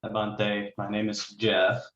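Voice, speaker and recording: A man with a deep, flat Midwestern voice speaks earnestly with some hesitation. He sounds a bit muffled and roomy, with echo around him, as if on a video call. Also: slow pace. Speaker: student. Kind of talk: class self-introduction. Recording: echoey, muffled room.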